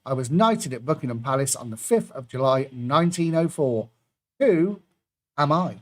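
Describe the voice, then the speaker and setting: A man's voice: medium pitch, lively intonation, moderate pace. A man hosting an online pub quiz, reading out clues and adding jokey asides between them.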